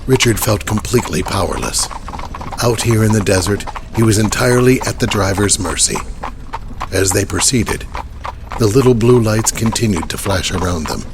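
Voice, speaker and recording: A man's voice: lowish voice, lively intonation, moderate pace, noisy background. A man narrating a horror audio drama in a dramatic, scripted storytelling style.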